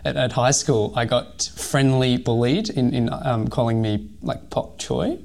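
A man's voice: medium pitch, unhurried, vocal fry, darkish tone. A man from Hong Kong in his thirties, a physiotherapist and pilot telling his story in a casual podcast interview, full of ums and restarts. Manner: rambling, many fillers and restarts.

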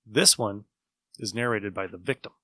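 A man's voice: lowish voice, moderate pace, sharp tone, dry recording. A man hosting a casual podcast chat about old radio shows, rambling along as an enthusiastic storyteller. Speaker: podcast host.

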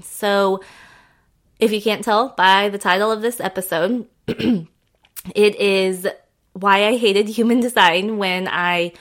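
A woman hosting a casual solo podcast, chatting in a rambling, affectionate way while congested from a head cold. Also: medium pitch. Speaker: podcast host.

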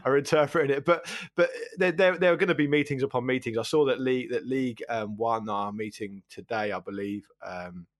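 A man's voice: medium pitch, brisk, hardly any pauses, no room sound, clean studio sound. A man chatting casually as a football podcast panellist, wordy and often circling back over his words.

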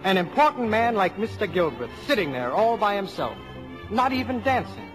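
A man playing a smitten, earnest American high-school boy with slangy talk in a 1950s radio comedy. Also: sing-song, moderate pace, over music. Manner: boyish, slangy, sincere. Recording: old radio broadcast.

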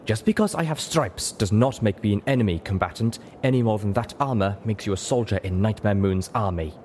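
A man voicing a proud, blunt female zebra who speaks in a slow, wary, indignant way in a fantasy audio story. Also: fairly low pitch, a little mellow, a little hiss or hum, natural voice.

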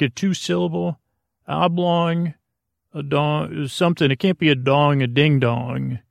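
A middle-aged American man mumbles through a halting, meandering bedtime podcast monologue, trailing off mid-thought in a gravelly voice. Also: a little high, dry recording, studio quality. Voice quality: gravelly, creaky. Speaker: podcast host. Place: small closet, very dry sound.

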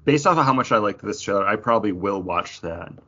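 Male co-host, husky and fairly low, relaxed conversational chat, dull lo-fi sound. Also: fast talker. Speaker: podcast co-host. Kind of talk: casual pop-culture chat. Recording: dull lo-fi podcast audio.

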